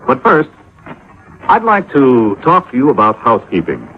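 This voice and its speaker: Animated old-time American radio announcer, male. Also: mid-range voice, faint music behind. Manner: folksy, measured, instructive. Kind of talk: 1950s radio public-service announcement, scripted. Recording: muffled old radio with faint noise.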